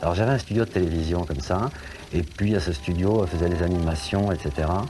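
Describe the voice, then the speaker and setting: A man's voice: low-pitched, quick pace, warm tone, slight background noise. A middle-aged man from France, a singer guest on a TV show, telling anecdotes in a rambling way with many hesitations. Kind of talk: chatty interview talk.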